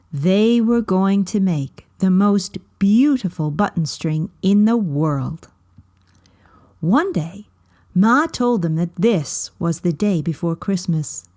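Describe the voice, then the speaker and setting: A woman reading a children's story aloud as scripted narration, in measured, deliberate phrases with frequent pauses. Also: medium pitch, darkish tone.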